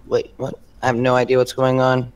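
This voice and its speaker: A man on a livestream bantering casually in a halting, teasing, sarcastic way, with some swearing. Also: medium pitch, monotone. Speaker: co-host or guest on a livestream.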